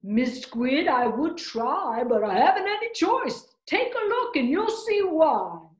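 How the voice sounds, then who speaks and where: A woman's voice: high voice, big pitch swings, slow pace, voice pushed up, heavily acted. A woman voicing a mopey male fish in a children's storybook, with a woeful, theatrical sing-song delivery. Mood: gloomy. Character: gloomy, mopey male pout-faced cartoon fish. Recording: roomy indoor echo.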